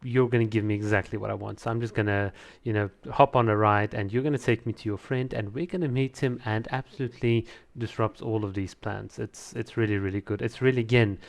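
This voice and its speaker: A man's voice: on the low side, steady tone, fairly quick, gravelly. A man reviewing a video in casual commentary, repeating words for emphasis.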